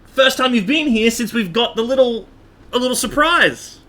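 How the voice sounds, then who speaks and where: Animated, bright-voiced man livestreaming. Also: very high voice, normal speed, slight background noise. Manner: animated, rambling, repeats himself for emphasis. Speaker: game livestreamer. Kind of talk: casual livestream chat and game commentary.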